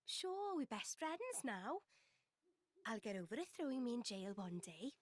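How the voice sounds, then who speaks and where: A feisty, high-voiced young girl. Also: big pitch swings, a little slow. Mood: brash. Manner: brash, exasperated, teasing. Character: feisty, sharp-tongued young cat-eared girl, grudgingly friendly. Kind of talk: video game cutscene acting.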